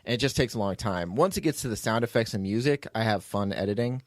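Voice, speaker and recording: A man's voice: fairly low pitch, quick pace, no echo, studio quality. A man hosting a podcast as its writer and producer, telling behind-the-scenes stories in a casual, rambling way.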